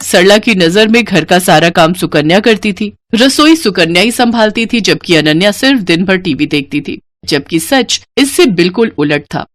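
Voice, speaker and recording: A woman narrating a scripted moral bedtime story in an expressive storytelling manner. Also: fairly low pitch, moderate pace, hardly any pauses, no echo, clean studio sound.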